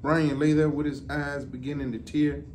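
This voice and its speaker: A middle-aged man reads a children's storybook aloud in a measured, deliberate way. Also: a little high, level tone, darkish tone. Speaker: deputy fire chief. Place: indoor office, seated. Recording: distant mic, some background noise.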